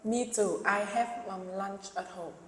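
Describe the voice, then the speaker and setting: Slow, hesitant woman with a Vietnamese accent. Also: mid-range voice, slight background noise. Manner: hesitant, fills pauses with um and uh. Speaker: student. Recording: echoey hall.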